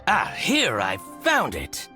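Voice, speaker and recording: Theatrical, scheming shopkeeper, wildly swooping. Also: male voice, fairly high pitch, huge pitch swings, takes it slowly, pushed and bright, full character voice. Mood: gloating, outraged. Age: middle-aged. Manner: theatrical, exaggerated, grumbling. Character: scheming, indignant male boutique owner with a grudge. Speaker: shopkeeper character. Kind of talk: theatrical video game dialogue. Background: background game music.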